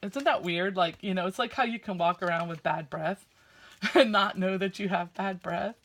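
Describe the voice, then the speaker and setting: A woman with a slight lisp and a loud, carrying voice, chatting casually and rambling a bit while she works on a craft project at home. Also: mid-range voice, normal speed. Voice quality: loud voice that carries. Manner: chatty, rambling, with frequent fillers. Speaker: crafting vlogger.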